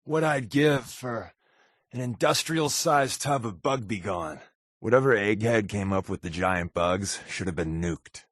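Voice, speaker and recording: An actor playing a wry, sarcastic secret agent companion in a post-apocalyptic video game, with a deadpan, wisecracking manner. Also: male voice, fairly low pitch, measured pace, dry recording, studio quality. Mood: sardonic.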